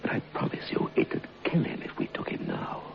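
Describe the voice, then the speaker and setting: An actor playing a scheming planter husband, falsely solicitous and coldly sinister, in an old radio horror play. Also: male voice, normal speed, close to an everyday voice. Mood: hushed, pleading. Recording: dull, noisy old radio recording.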